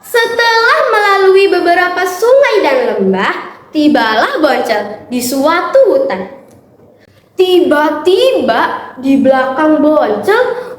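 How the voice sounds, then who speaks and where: A woman recites a folktale in a very high, animated voice. Her delivery is measured and expressive, a little slow. The room echoes around her, with some noise behind. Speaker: storytelling contestant. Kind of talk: scripted storytelling narration of a folktale. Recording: roomy echo with clear background noise.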